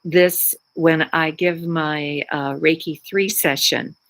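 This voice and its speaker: A woman from Poland, whose first language is Polish, talking casually about her crystals as a spiritual teacher, pausing often for words. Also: sits low, steady tone, a bit bright. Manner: unhurried, searching for words with many fillers. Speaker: spiritual teacher and Reiki practitioner.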